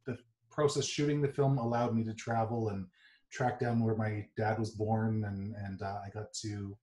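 Forties guy on video call, echoey room, slow halting answers. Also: fairly low pitch, flat delivery, a little mellow. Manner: halting, many uh fillers. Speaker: film director, guest. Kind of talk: casual interview answer. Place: home office, indoors. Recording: roomy video call audio.

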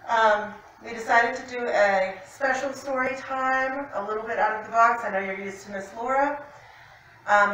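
A woman's voice: a little high, fairly bright tone, heavy reverb. Female library host introducing children's stories, masked and a little muffled, distant mic with faint noise. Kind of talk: introducing and closing a children's storytime. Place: indoor room, seated by a fireplace mantel. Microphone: distant camera microphone.

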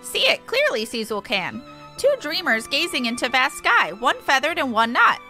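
A woman voicing an excitable birdlike game beastman who squawks and speaks emphatically in inverted word order. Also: high-pitched, moderate pace, faint music behind, heavily acted. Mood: proud, reverent.

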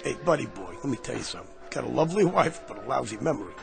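An actor playing a brash, fast-talking man who claims to be going back in time, with a pushy, street-smart manner in a radio drama. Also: mid-range voice, very animated, creaky. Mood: cocky. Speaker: lead character. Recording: radio play with music.

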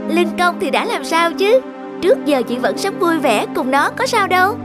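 A woman's voice: very high-pitched, very animated, normal speed, over music. A woman voicing a kind, encouraging, breezy goldfish friend in a children's cartoon. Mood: reassuring.

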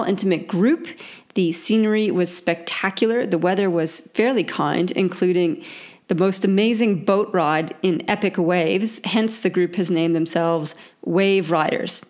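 A woman in her forties with a croaky voice from a cold, hosting her own leadership podcast in a bubbly, upbeat way. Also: fairly low pitch. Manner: bubbly, upbeat, laughs often. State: hoarse from a cold. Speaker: podcast host, leadership coach. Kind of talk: casual solo podcast talk.

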